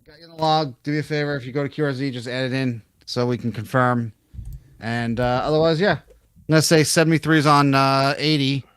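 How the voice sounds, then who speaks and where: A man from New York chatting casually as a ham radio operator. He talks loudly and easily, with a mid-range pitch, and laughs often between his thoughts. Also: fairly quick, clean tone, dry recording, clean studio sound. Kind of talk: casual on-air radio chat.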